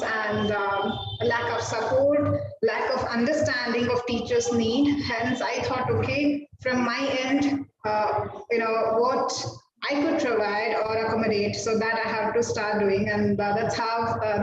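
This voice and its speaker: Woman host in a very echoey, noisy room. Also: fairly high pitch. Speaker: host and organiser of teacher events. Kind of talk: informal closing remarks. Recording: very echoey, distant, dull, noisy call.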